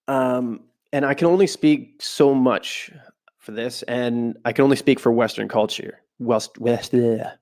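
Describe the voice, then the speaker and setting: Man, stuffy cold voice, podcast host, reading aloud, casual asides, home recording, middle pitch. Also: fairly even intonation, a little slow, darkish tone. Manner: reads aloud, then breaks off into loose asides. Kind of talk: casual podcast, reading from a book with side comments. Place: home room, not a studio.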